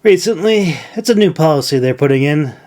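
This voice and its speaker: A man's voice: fairly high pitch, expressive, brisk, darkish tone, voice pushed up, lightly acted. A man reads as a weary young trainee in a fantasy audiobook. He is modest and guarded, with a curt, irritable edge. The delivery stays close to everyday speech. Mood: matter-of-fact.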